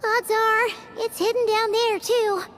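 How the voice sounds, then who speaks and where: A woman voicing a tiny, childlike, chirpy fairy companion with a squeaky voice in a video game. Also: little pitch movement, measured pace, a bit bright, soft background music. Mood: eager. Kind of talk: video game cutscene voice acting.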